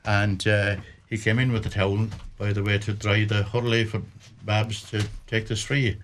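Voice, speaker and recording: An older man with a Limerick Irish accent, a radio guest reminiscing about old hurling matches in a halting way with many restarts. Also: lowish voice, flat delivery, a little hiss or hum. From Limerick, Ireland. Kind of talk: casual radio chat about hurling history.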